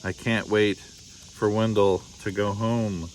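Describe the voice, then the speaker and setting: A man reads the part of a quiet little girl in a storybook. The manner is reserved and long-suffering, as a put-upon host would be. Faint noise sits behind the voice. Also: fairly low pitch, little pitch movement, slow, low-quality recording. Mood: resigned.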